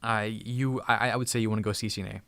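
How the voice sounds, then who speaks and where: A man's voice: lowish voice, fairly even intonation, quick pace, gravelly, a bit bright, no room sound. A man in his thirties hosting a tech channel live stream from home, chatting casually and thankfully with viewers, often restarting and repeating words. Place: home room.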